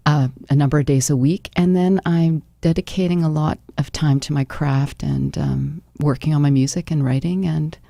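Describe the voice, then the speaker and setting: A woman's voice: deep voice, fairly even intonation, normal speed, vocal fry, few pauses, mellow, no room sound, studio quality. A Canadian woman speaking effusively as a musician guest in a live radio interview. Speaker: interview guest, singer-songwriter. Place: radio studio.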